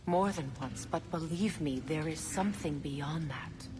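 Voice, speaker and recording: An actress playing a solemn, resolute warrior goddess in a video game, speaking in an earnest, measured, persuasive way. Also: female voice, low-pitched, big-room sound, over music. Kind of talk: dramatic video game acting.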